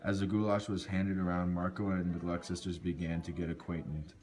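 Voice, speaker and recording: A man with a low voice narrates a folk tale from a script. He reads it out as a storyteller, now and then tripping on a word and correcting himself. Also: flat delivery, fairly quick. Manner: stumbles and corrects words while reading.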